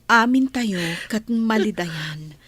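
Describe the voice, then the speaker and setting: A woman plays a sympathetic wife in a radio drama. She speaks slowly with an Ilocano accent, in a soft, husky, breathy voice. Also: medium pitch, expressive, dry recording, exaggerated voice. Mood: sad, sympathetic.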